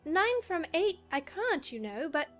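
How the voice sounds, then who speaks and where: The earnest, very high-voiced young heroine of a children's audio play, reasoning politely over music woman acting. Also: sing-song, unhurried, a bit bright, full character voice. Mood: puzzled. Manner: earnest, logical, faintly exasperated. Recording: music behind the voice.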